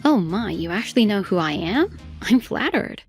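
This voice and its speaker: A woman's voice: medium pitch, very animated, normal speed, close to an everyday voice. A woman playing a poised, self-assured CEO in her thirties in a manga dub, gracious and coy, with music under her. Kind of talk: dramatic manga dub voice acting.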